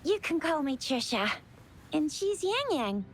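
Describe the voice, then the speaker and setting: A woman playing a bubbly, chatty, eager young female patroller in a video game, speaking in an excitable, informal way. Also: high voice, measured pace, a little hiss or hum, full character voice. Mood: upbeat, chatty. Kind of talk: video game character voice acting. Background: background game music.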